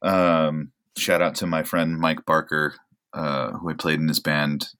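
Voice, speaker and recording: A man's voice: a bass voice, little pitch movement, measured pace, fairly bright tone, clean tone. A man in his thirties, an actor from Oregon, chatting casually as a podcast guest, rambling and self-deprecating with many fillers. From Oregon, USA. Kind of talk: casual interview chat.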